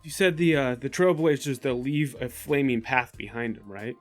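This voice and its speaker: Man, medium pitch, tabletop player, casual, hesitant, thinking aloud, faint background music. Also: normal speed. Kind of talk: casual tabletop game chat.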